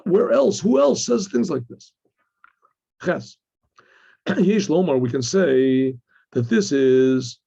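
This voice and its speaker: A man, a rabbi with a husky, gravelly voice and frequent throat-clearing, gives a religious lesson, reading and explaining a text haltingly with repeated phrases and rhetorical questions. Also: high voice, darkish tone. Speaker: rabbi teaching. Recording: dull, lo-fi sound.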